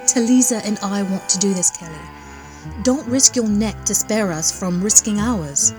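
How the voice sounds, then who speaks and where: A woman's voice: medium pitch, normal speed, darkish tone, faint music behind, close to an everyday voice. A woman playing a curious, eager starship engineer in a sci-fi audio drama, informal and inquisitive.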